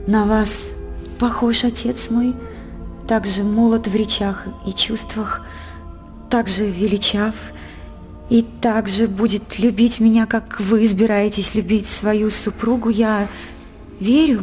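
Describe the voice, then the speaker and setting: An actress playing an innocent, devoted young princess, speaking theatrical verse earnestly and formally in a radio play. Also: female voice, mid-range voice, fairly even intonation, a little slow, darkish tone, soft background music, natural voice. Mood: tender, sorrowful.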